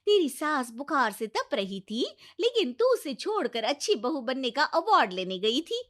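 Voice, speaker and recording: A woman acts a neighbour auntie in a moral-story cartoon with a very high voice. She scolds loudly, with reproach and sarcasm in her complaints. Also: big pitch swings, normal speed. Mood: reproachful, sarcastic.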